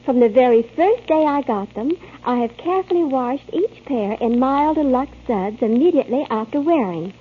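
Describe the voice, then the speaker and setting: A woman's voice: high voice, animated, normal speed, few pauses. A woman reading a scripted testimonial in a 1940s radio advertisement. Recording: tinny, noisy 1940s radio broadcast.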